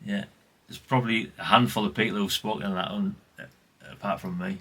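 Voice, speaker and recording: A man's voice: on the low side, fairly even intonation, fairly quick, bright, forceful tone, slightly rough. A middle-aged man chatting casually and colloquially in a rambling vlog, often tagging questions onto what he says. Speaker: vlogger, radio collector.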